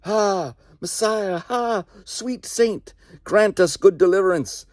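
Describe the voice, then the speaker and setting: A man voicing a ghostly host of medieval bowmen, shouting fervent chanted battle cries. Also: sits high, takes it slowly, no room sound, clean studio sound, voice pushed up.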